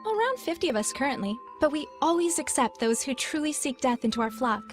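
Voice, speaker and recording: An actress playing a calm, reassuring female gatekeeper of a death cult in a video game, with measured delivery. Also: high-pitched, faint music behind. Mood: serene, testing. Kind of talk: video game character acting.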